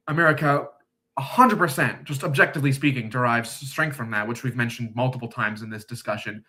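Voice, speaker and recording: A man with a bright, forward voice talks through a question in a casual discussion. He pauses often, fills gaps and restarts his phrases as he works out what he thinks. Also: medium pitch. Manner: halting, thoughtful, many fillers and restarts. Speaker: discussion guest.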